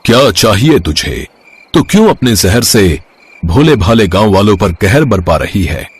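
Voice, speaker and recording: A man voicing a grave, calm old village sage who speaks slowly and solemnly in an animated moral story. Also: fairly low pitch, slightly rough, exaggerated voice. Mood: stern. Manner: slow, solemn, drawn-out delivery.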